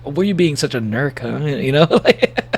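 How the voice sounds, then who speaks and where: A man with a gravelly voice giving a casual livestream reaction, rambling and joking playfully as he comments and reads bits aloud. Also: fairly high pitch, expressive, normal speed. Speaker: streamer reacting to horror videos.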